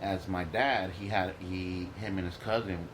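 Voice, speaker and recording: Emphatic, profane man with a low voice. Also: a little slow, background noise. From Los Angeles, United States. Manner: emphatic and profane, with loud excited jumps. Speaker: comedian guest. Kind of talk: casual interview talk.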